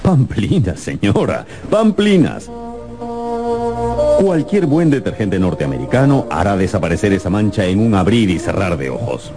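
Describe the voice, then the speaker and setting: Hugely animated, pompous family father. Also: fairly low pitch, normal speed, over loud music. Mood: brisk, dismissive. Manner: pompous, theatrical, salesman-like. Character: brisk, cheerful, skeptical American family father and minister. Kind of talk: radio drama acting.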